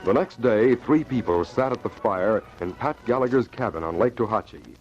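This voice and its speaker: A man's voice: on the low side, animated, moderate pace, music in the background. A man narrating and announcing an old-time radio adventure in a dramatic, scripted style. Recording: muffled, noisy old radio transcription.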